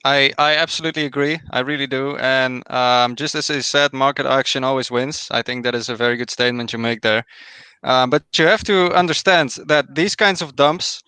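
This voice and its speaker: Smooth-voiced Dutch man analyzing charts. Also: medium pitch, bright, forceful tone, no echo. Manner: measured, explanatory, confident. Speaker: guest crypto trader. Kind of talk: chart analysis on a live stream.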